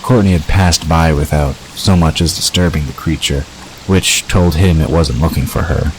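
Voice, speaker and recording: Male narrator for fantasy audio drama, extremely low voice, scripted prose reading, faint watery ambience behind. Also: moderate pace, a little mellow, slight background noise, rough recording.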